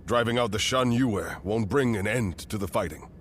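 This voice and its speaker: An actor playing an outspoken, principled veteran general of ancient China in a video game cutscene, speaking earnestly and deliberately. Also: male voice, lowish voice, slight background noise, close to an everyday voice. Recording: orchestral music with fiddle behind the voice.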